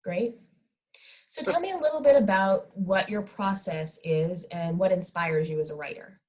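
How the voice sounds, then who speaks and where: A woman with a slightly low voice hosts an online panel discussion. She speaks as the moderator, putting questions to her guests. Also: moderate pace, a little mellow. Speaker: moderator of a theatre talkback. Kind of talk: hosted panel discussion over video call.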